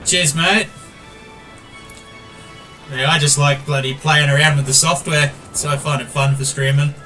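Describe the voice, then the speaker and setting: A man's voice: a little high, steady tone, unhurried, bright, forceful tone, soft background music. An Australian man streaming a game, chatting casually and calling everyone mate while he reads viewer messages aloud. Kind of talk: casual gameplay stream chat.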